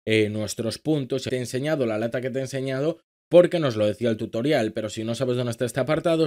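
A man's voice: mid-range voice, darkish tone. A man in his thirties with an accent from Spain, presenting a tutorial directly to viewers in an instructional way. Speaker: online video presenter. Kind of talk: tutorial narration to camera. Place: small indoor room with gaming setup.

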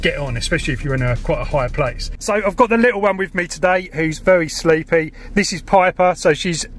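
A man's voice: on the high side, fairly quick, soft background music. A middle-aged man with an English accent giving casual handheld vlog commentary, informally thinking aloud. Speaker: vlogger. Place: parked car and open grassy hilltop outdoors. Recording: clear ambient noise on location.

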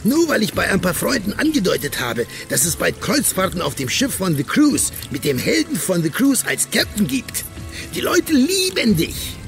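A man's voice: sits high, wildly swooping pitch, moderate pace, gravelly, faint music behind, exaggerated voice. An actor playing a wealthy, smug, regal prince in a radio drama, savouring his words theatrically. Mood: gracious, commanding.

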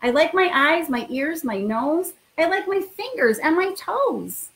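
A woman's voice: very high voice, very animated, a little slow. A woman in middle age, a school principal, talking informally and reading a picture book to children in an encouraging way. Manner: encouraging, addresses children directly.

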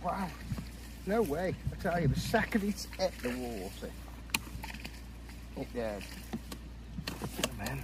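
A middle-aged man from England who vlogs his fishing, chatting to a camera on the bank of a lake outdoors, excitable and chuckling as he calls out his catches. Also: a little high, slow, pauses often, noisy background. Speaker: angler vlogger. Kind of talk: casual fishing commentary to camera. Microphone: camera close by on the bank.

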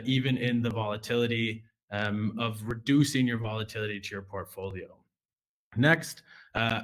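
A man's voice: medium pitch, fairly bright tone, low-quality recording. A young American man, a junior relationship manager, presenting a finance webinar from an office webcam in a conversational way, with fillers and restarts. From United States.

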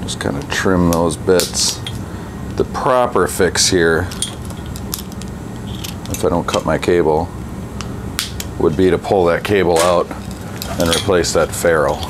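Low-voiced man chatting while fixing a bike. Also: takes it slowly, pauses often. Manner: relaxed, chatty, thinks aloud with fillers. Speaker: bike mechanic and channel host. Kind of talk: casual workshop narration. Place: indoor bike workshop. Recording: workshop noise behind the voice.

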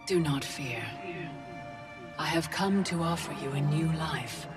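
A solemn goddess of time in a video game cutscene. She speaks very slowly and formally, with serene command and measured weight, while loud music plays under her. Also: sits low. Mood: solemn, beckoning. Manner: slow, deliberate, formal, commanding. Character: serene, grandly solemn female time goddess offering bargains.

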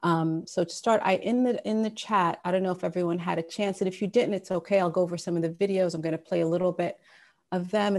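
Low gravelly woman on a video call. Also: a little mellow. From Sudan. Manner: conversational, many fillers and restarts. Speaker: guest speaker. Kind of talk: casual online talk. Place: home office. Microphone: wired earphones on a video call.

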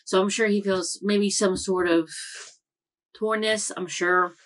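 A woman's voice: medium pitch, steady tone, unhurried, slight background noise. A young woman hosting an anime reaction channel, rambling casually from a room at home, with lots of fillers and self-corrections. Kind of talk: casual chat recap.

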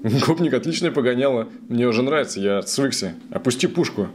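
A man's voice: mid-range voice, animated, a little fast, darkish tone, a little hiss or hum, lightly acted. A man voicing a cocky, nervous young rookie stalker in a cartoon, slangy, streetwise and sarcastic. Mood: placating, cheeky. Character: cocky, wisecracking male rookie stalker, nervous but cheeky. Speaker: voice actor. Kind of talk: cartoon dub acting.

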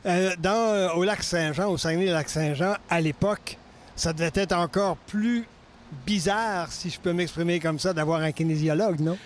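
A man's voice: fairly high pitch, fairly even intonation, normal speed, a little hiss or hum. An older man with a Canadian French accent hosting an outdoor interview, chatty and hesitant as he searches for words, speaking into a handheld mic. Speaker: interview host. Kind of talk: casual interview questions. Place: outdoors in a leafy park.

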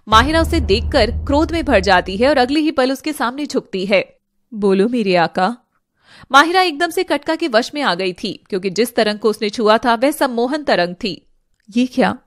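A woman's voice: sits high, a bit bright, no room sound, studio quality. A woman narrator reading a scripted story in a dramatic storytelling style.

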